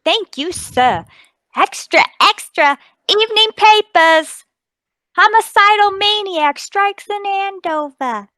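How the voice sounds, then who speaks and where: A woman's voice: very high-pitched, animated, slow pace, bright, forceful tone, no echo, studio quality, voice pushed up, heavily acted. A woman voicing an eager young street newspaper seller calling out headlines in a radio drama. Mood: eager, urgent. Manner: declaiming, singsong street cry.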